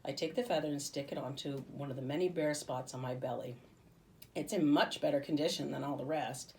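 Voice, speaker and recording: A woman reading a children's story aloud as a teacher would, in an expressive narrating style. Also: low voice, a little fast, recorded from a distance, a little hiss or hum, rough recording.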